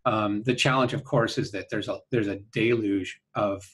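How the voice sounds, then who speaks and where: A man answering interview questions conversationally, earnest and halting, often checking in with a "right?". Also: on the low side, fairly even intonation, a bit bright. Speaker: interview guest, company founder.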